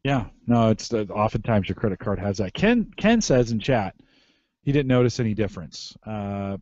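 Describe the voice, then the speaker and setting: A man's voice: lowish voice, expressive, normal speed, darkish tone, no room sound, dull audio. A middle-aged American man hosting a casual tech podcast, repeating phrases for emphasis. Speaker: podcast host. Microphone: close podcast microphone through mixer.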